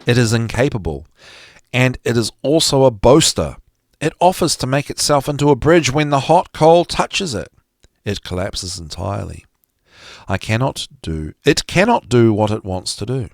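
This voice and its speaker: A man giving an expressive, emphatic reading of a psychology lecture, with deliberate phrasing that drops dramatically at the ends of phrases. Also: medium pitch, no echo, clean studio sound. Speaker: podcast host reading aloud from a psychology text.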